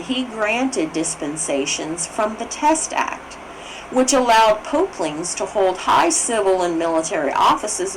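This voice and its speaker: A woman's voice: medium pitch, rough recording. A woman reading a formal text aloud as narration, carefully, sometimes stumbling and correcting herself. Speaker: reader of a book on constitutional history. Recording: clear background noise.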